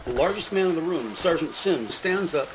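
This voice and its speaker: A man reading scripted descriptive narration in a measured, announcer-like way. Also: on the high side, expressive, heavy background noise. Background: background music.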